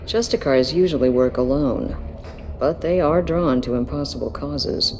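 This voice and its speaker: An actress playing a dutiful, weary police detective in a video game, speaking plainly. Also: female voice, deep voice, normal speed, darkish tone, long reverb tail, slight background noise, natural voice. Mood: firm, wry.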